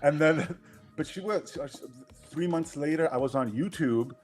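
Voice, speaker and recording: A middle-aged man from Queens, New York, a guest in a casual interview, relaxed and candid, laughing often. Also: on the high side, measured pace, faint music behind.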